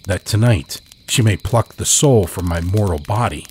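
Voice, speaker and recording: A man's voice: low-pitched, animated, moderate pace, darkish tone, a little hiss or hum. A man playing a frail, grieving narrator who longs for a dead beloved, in a solemn, brooding horror campfire tale. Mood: mournful longing. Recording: cricket night ambience under the voice.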